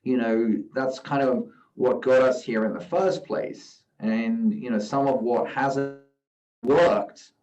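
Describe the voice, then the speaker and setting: A middle-aged man from South Africa, a guest investor giving measured, reflective interview answers and often saying "you know", at a live event. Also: medium pitch. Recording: roomy, distant, dull sound.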